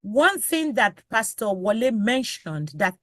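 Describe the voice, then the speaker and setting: A woman's voice: a little high, big pitch swings, measured pace, fairly bright tone, dry recording. Dry-sounding woman pastor giving advice. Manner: lists examples in sequence, urges the listener directly. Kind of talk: advising on a panel talk show.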